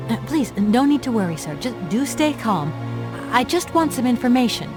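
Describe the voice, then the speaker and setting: A woman's voice: a little high, sing-song, normal speed. A woman playing a determined, polite young adventurer in a video game, earnest and firm in delivery. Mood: polite, tentative. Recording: music behind the voice.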